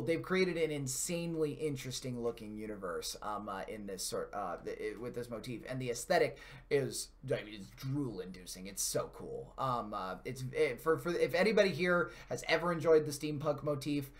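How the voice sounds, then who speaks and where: Male stream host, casual gaming chat, medium-pitched voice, enthusiastic, gushing, rambling, slangy. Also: moderate pace. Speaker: host of a video game channel's live stream.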